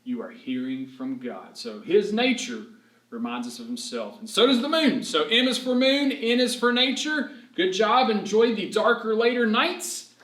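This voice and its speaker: A man reading an alphabet story to young children as a teacher, animated and encouraging, asking questions and drawing words out for emphasis. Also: high voice, normal speed. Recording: roomy, echoing room sound.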